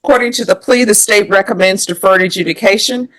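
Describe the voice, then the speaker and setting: A woman's voice: mid-range voice, fast, a bit bright, low-quality recording. Female judge, American, formal courtroom questioning, procedural and methodical, mid-range voice. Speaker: judge presiding over a plea hearing.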